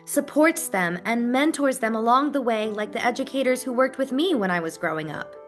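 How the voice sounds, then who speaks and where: A woman's voice: on the high side, lively intonation, fairly quick. A woman giving an earnest, scripted testimonial. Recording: guitar music behind the voice.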